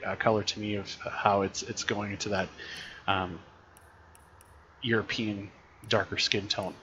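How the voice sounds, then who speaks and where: A man, a teacher, chatting casually while playing a role-playing game, thinking aloud with frequent restarts and a slightly raspy voice. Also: fairly low pitch, fairly bright tone, lo-fi sound, slight background noise. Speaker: teacher streaming games. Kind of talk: casual gameplay commentary.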